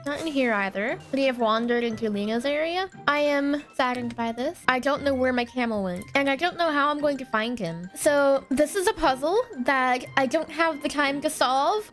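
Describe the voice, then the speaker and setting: A woman's voice: high voice, moderate pace, few pauses, faint music behind. A young woman narrating her own block-building game session in a chatty, think-aloud way, sounding a little congested. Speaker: gaming YouTuber. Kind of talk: let's play commentary.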